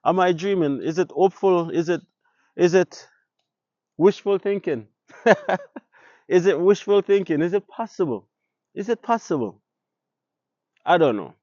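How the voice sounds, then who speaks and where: A Jamaican man talks to his viewers in an easy, conversational way, tossing them one rhetorical question after another. His voice sits at an ordinary middle pitch. The recording is dry and a little dull. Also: a little mellow, dry recording. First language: Jamaican Patois. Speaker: vlogger. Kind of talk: casual talk to camera.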